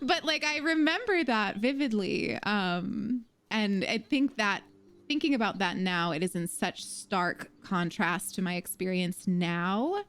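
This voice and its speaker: A woman's voice: mid-range voice, lively intonation, unhurried, a bit bright. A young adult woman hosting a casual livestream chat, talking in a loose, filler-heavy way and laughing easily.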